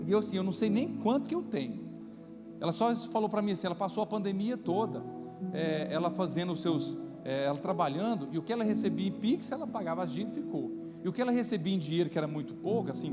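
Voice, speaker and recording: Male pastor, Brazilian accent, high voice, conversational sermon, long hall reverb. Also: expressive, fast. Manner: conversational, checks in with listeners through rhetorical questions. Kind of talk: sermon preached to a congregation. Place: church, live-streamed service. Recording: reverberant hall, loud music underneath. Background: loud music.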